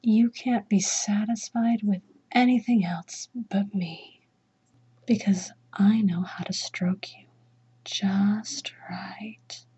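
A woman with a mellow, heavily breathy voice speaks slowly in low whispers, like a dominant mistress giving orders. The sound is dull and muffled, as on a lo-fi recording. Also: fairly even intonation. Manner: slow teasing whispers; commanding. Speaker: erotic audio roleplay performer.

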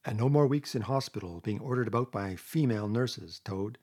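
A man voicing a loyal, earnest mole in a storybook, speaking gravely. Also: on the low side, a little fast, a little mellow. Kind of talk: storybook character reading.

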